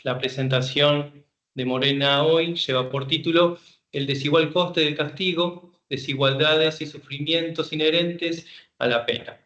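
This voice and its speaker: A man's voice: a little high, fairly even intonation, measured pace, fairly bright tone. A man moderating a seminar, reading out a guest speaker's biography and then welcoming her informally. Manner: reads a bio, then loose and informal. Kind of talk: formal speaker introduction. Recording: echoey room, muffled lo-fi sound.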